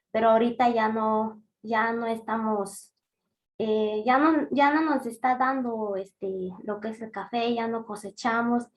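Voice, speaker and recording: Hesitant young woman, higher voice. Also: rough recording. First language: Tzeltal. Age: young adult. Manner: hesitant, many pauses and fillers. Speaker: youth representative. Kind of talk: speaking at a conference session.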